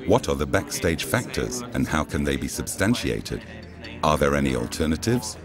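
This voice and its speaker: Male documentary narrator, very low and expressive, formal and measured, with music underneath. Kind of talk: scripted promotional voice-over. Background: music bed.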